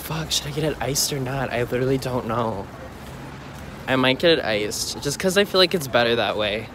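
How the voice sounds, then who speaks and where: A young man vlogging casually on a phone at arm's length, walking city streets and chatting excitably with lots of "like". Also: medium pitch, moderate pace, background noise. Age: young adult. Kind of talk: casual vlog chat. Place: bedroom indoors, then city streets outdoors. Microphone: phone held at arm's length.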